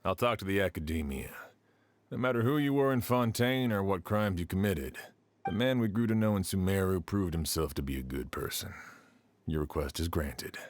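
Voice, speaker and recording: An actor playing a tired, fair-minded sheriff in a game, sighing and weary. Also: male voice, low voice, lively intonation, normal speed.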